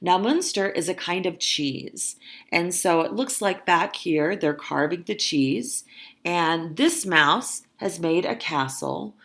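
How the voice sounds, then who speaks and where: A woman who works as a teacher, reading a children's story aloud expressively and adding simple, deliberate explanations. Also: fairly low pitch, animated, a bit bright.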